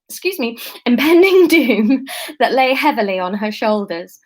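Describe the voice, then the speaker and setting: A woman reading her serialized story aloud, chatty and casual, often pausing to comment on it and correct herself. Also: mid-range voice.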